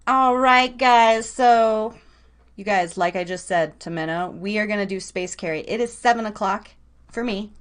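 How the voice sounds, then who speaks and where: A woman hosting a live online show, talking in a casual, slangy, animated storytelling way. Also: medium pitch, normal speed.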